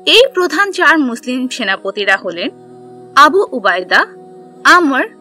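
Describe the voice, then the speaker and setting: A woman reads a scripted story for children with a high-pitched voice that moves up and down in a lively way. Her phrasing is simple and clear, in a storybook style, with faint music underneath. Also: takes it slowly. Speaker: narrator of an Islamic history cartoon for children.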